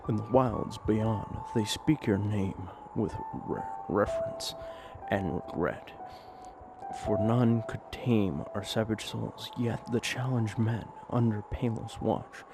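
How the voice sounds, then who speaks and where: A solemn ancient inscription, read in a lowered, dragging voice as a man puts it on. Each phrase comes out grave and measured over a clear bed of game ambience noise. The tone is ceremonial. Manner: slow, grave recitation.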